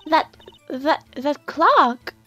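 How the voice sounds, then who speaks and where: Coy, high-voiced flustered witness. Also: female voice, animated, bright, forceful tone, soft background music, heavily acted. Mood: insistent. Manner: coy, halting, sugary. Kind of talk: video game fandub voice acting.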